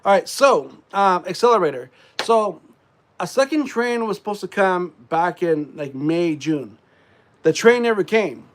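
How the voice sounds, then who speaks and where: Congested male streamer with a slightly high voice, loose rambling delivery full of ums. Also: expressive, a little slow. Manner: rambling, frequent fillers and throat clearing. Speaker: live streamer. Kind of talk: casual live stream chat.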